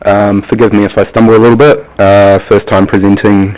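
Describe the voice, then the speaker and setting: Low-voiced New Zealand man, hesitant. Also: level tone, brisk. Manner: hesitant, many ums, plain explanatory. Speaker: planning officer. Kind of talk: presenting a planning application. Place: council committee chamber. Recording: muffled, lo-fi broadcast audio.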